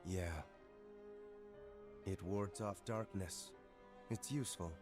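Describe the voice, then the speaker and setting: A cold, arrogant young man broods in a deep, slow voice over music. It is a solemn, disdainful game cutscene delivery. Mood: guarded. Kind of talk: video game cutscene acting. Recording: music under the voice.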